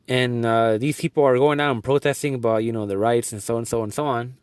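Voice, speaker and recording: A young adult man from Guatemala talks earnestly in a middle-pitched voice, rambling and restarting his sentences as he goes. The recording is dry and close, with no room sound. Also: steady tone, brisk, darkish tone, smooth, dry recording. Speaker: vlogger. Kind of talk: casual video update.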